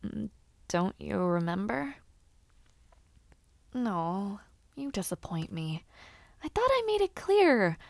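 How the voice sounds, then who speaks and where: A woman's voice: mid-range voice, sing-song, slow, vocal fry, long pauses, no room sound, heavily acted. A woman playing an eager, playfully bossy young noblewoman who hopes to be a knight, in an intimate audio roleplay, with formal old-fashioned phrasing and little hesitations.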